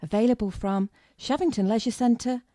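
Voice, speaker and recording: A woman's voice: on the high side, moderate pace, mellow, dry recording, clean studio sound. A woman announcer reading a scripted promotional announcement.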